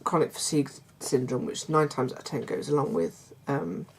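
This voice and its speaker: A middle-aged woman, chatting casually to her viewers in a croaky voice, hesitant with many fillers and restarts. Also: very deep voice, expressive, normal speed, slight background noise. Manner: warm confiding tone toward viewers. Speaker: vlogger. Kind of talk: casual chat to camera.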